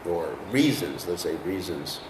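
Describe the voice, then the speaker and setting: A man's voice: low-pitched, big pitch swings, measured pace, roomy sound, distant mic, background noise. An American man teaching a scripture class in a conversational way, often putting questions to his listeners. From United States. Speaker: lecturer on scripture.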